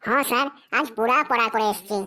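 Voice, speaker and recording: A woman's voice: fairly high pitch, fast, sharp tone. A woman voicing a cocky schoolboy in a cartoon who rattles off a memorized essay in a sing-song recital. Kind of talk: cartoon voice acting.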